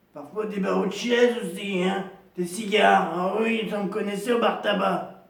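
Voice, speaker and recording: A gravelly, raised-pitch woman in her fifties, a chain-smoker and heavy drinker who clings to her dignity, as a man puts her on. She drags through her comic monologue slowly, rambling and repeating herself. The sound is roomy and echoey, caught from a distance. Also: heavily acted. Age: 50s. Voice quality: gravelly, deep voice. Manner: slow, rambling, repetitive, self-pitying. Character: gravelly 55-year-old woman, chain-smoking hard drinker, proud and lonely.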